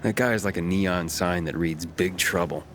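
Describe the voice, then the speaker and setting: Young drifter in a game, low voice, calm, matter of fact, kinda guarded. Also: male voice, moderate pace, faint music behind. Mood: casual. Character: Aiden, calm young wandering pilgrim man. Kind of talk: naturalistic video game dialogue.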